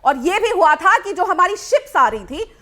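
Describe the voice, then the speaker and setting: A woman's voice: very high-pitched, very animated, moderate pace, a bit bright. A woman speaking as a party spokesperson in a TV debate, assertive and arguing point by point.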